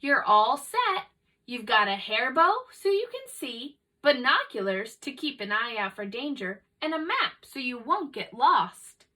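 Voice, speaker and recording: Woman voicing a high, bossy little storybook sheep. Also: very animated, measured pace, bright, forceful tone, full character voice. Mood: confident, bossy. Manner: self-assured, eager to help. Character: bossy, confident, chatty female sheep. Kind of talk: children's storybook read-aloud.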